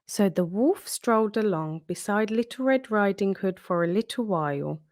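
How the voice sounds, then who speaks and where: A woman storyteller narrating a children's storybook in an expressive read-aloud style. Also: mid-range voice, moderate pace, dry recording, studio quality.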